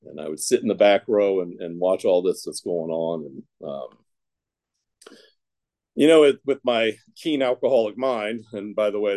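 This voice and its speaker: A man with a somewhat low voice, from Ohio, shares his recovery story in a casual, meandering way. He piles on fillers and restarts and turns his own mistakes into dry, self-deprecating jokes. It sounds like an unscripted talk to a group. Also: darkish tone. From Ohio, United States. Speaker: speaker sharing a recovery story.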